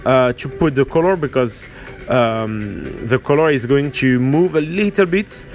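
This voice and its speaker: A man with a French accent and French as his first language gives an informal hands-on painting tutorial, hesitant and halting as he searches for words. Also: medium pitch, soft background music. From France or French-speaking region. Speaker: miniature painting tutorial host.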